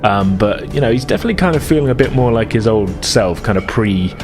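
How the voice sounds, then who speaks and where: Low-voiced man running a tabletop game. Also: lively intonation, fast, music in the background. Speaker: game master. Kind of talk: improvised tabletop game narration.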